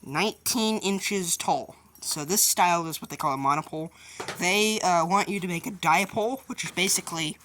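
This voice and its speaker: Woman doing a diy how-to, kinda low but bright voice, informal and a bit halting, slight background noise. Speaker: host of a DIY tutorial video. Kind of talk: casual hands-on how-to explanation.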